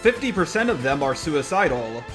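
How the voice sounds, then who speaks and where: Man with lively, higher-pitched voice. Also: normal speed, music in the background. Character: persona of a robot. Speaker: host. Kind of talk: scripted video commentary.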